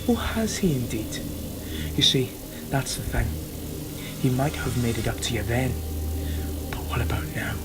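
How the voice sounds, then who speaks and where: A man playing a sinister, taunting villain in an audio drama, insinuating and hypnotic, slipping into breathy whispers. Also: medium pitch, very animated, moderate pace, long pauses, loud music behind the voice, natural voice. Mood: sly, taunting. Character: sinister, taunting male villain known as the teacher.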